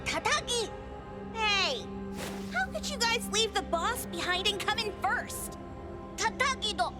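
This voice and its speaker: A woman voicing a cocky, excitable young girl boss in an anime-style video game, boastful and dramatic, with a playful manner. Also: very high-pitched, measured pace. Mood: indignant. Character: cocky, excitable young girl who bosses her gang around. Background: game music.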